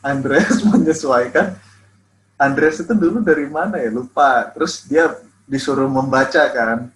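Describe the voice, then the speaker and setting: A man in a casual chat with friends, relaxed and patiently explaining regional words. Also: mid-range voice, unhurried, low-quality recording. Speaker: podcast co-host.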